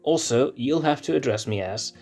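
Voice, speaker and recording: A man's voice: medium pitch, animated, normal speed, darkish tone, faint music behind, pitched above the performer's own voice. A man voicing a shy young male lion cub prince who stammers and hesitates. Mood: defensive.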